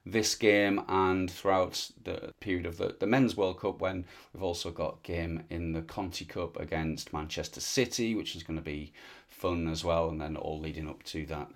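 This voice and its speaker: A man's voice: sits low, flat delivery, normal speed, few pauses. A man hosting a football interview, asking long questions with frequent hesitations and repeated words. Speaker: podcast host. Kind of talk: interview host. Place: lives in Wales.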